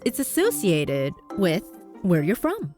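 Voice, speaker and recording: A Canadian woman with a neutral North American accent hosts a podcast as a voice coach. She sounds upbeat and encouraging, with her voice leaping upward to stress her points. Soft music plays faintly underneath. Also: wildly swooping pitch, takes it slowly. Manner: upbeat, encouraging, with big jumps in pitch for emphasis. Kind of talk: scripted podcast monologue.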